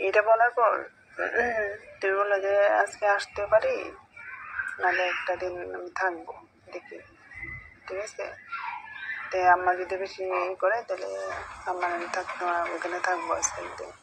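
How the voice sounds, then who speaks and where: A woman's voice: on the low side, level tone, normal speed, pauses often. A middle-aged woman in a casual private phone conversation, hesitant and coaxing, often repeating herself. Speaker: mother-in-law on a phone call. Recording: noisy recorded phone call.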